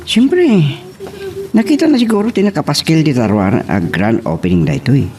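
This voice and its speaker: A man's voice: medium pitch, wildly swooping pitch, a little fast, a crowd in the background. A man with an Ilocano accent playing a polite boyfriend in an audio romance drama. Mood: reserved. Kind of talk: Filipino radio-style romance drama acting.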